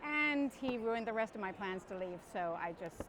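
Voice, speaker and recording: A middle-aged woman born in Guyana, an author chatting as a guest in an interview, talking in quick bursts and correcting herself as she goes. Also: mid-range voice, expressive, a bit bright, a little hiss or hum. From born in Guyana, raised abroad. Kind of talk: casual interview chat. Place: parked van.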